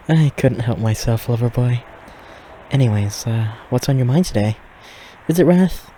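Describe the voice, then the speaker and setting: A man's voice: medium pitch, takes it slowly, pauses often, mellow, slight background noise, natural voice, rough recording. A man playing a flirty, teasing demon who reports and hosts in a fiction podcast. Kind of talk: fiction podcast banter and monologue.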